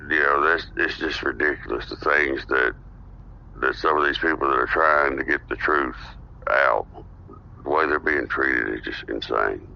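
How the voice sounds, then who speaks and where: A man's voice: very deep voice, normal speed, vocal fry, a little hiss or hum. A man who hosts a podcast, speaking as a guest in an earnest, opinionated political discussion. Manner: earnest, opinionated, steady explaining. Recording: dull lo-fi audio.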